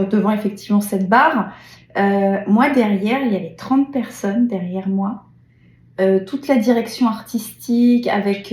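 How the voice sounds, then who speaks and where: Brief: French woman host, middle pitch, expressive, in a roomy echoing space. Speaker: interviewer. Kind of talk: casual interview chat.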